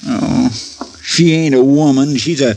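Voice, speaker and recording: An actor playing an earnest, homespun sidekick to a frontier marshal in a 1950s radio drama, talking in a plain drawl. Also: male voice, mid-range voice, measured pace, creaky, a little mellow. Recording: hissy old radio broadcast.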